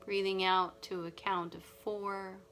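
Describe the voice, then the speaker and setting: Woman meditation guide, slow soft soothing delivery, ocean waves and music behind. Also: mid-range voice, level tone. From Nova Scotia. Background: music and ocean wave sounds.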